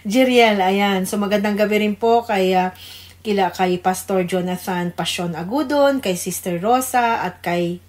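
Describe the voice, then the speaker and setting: A woman's voice: mid-range voice, measured pace, a little mellow. A woman with a Filipino accent co-hosting a devotional radio program in a reverent, conversational way. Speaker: radio devotional co-host, pastor's wife. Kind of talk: informal religious radio greetings and prayer.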